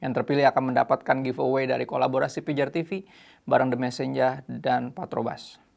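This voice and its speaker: A man's voice: medium pitch, steady tone, a little fast, vocal fry, a little mellow. An Indonesian man hosting a casual youth talk show, mixing English phrases into his speech. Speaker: host.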